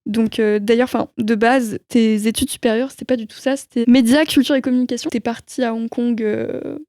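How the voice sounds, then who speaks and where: A woman's voice: fairly high pitch, steady tone, normal speed, darkish tone, dry recording, clean studio sound. A woman interviewing a guest in a casual, conversational style.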